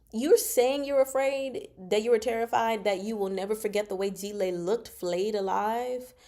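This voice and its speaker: Expressive woman in casual book chat. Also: mid-range voice. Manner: expressive, slangy, teasing, emphatic. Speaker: host of a book discussion livestream. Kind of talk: casual chatty book commentary with live chat.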